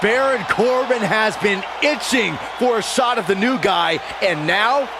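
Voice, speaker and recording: A man's voice: sits high, measured pace. A man voicing a supportive, upbeat wrestling commentator in a video game. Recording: crowd and arena noise behind.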